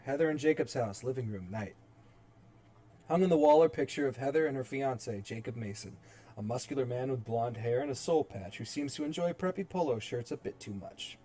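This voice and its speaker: A man's voice: mid-range voice, dark tone. A man reading stage directions as narrator in a dramatic screenplay table read. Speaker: narrator and reader.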